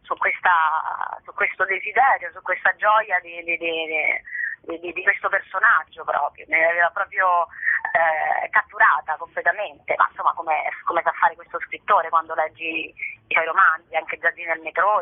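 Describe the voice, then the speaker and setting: A woman's voice: on the low side, normal speed, no room sound. Effusive middle-aged woman over the phone. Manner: effusive, repeats phrases as she goes. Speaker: actress interviewed about a show. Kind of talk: spontaneous interview conversation. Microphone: telephone. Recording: band-limited, dry telephone line.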